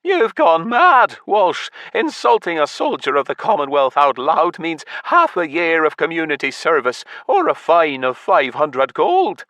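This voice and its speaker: A man voicing a withered old male drunkard who protests in a high, strained voice. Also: big pitch swings, unhurried, no room sound, heavily acted. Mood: protesting. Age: older.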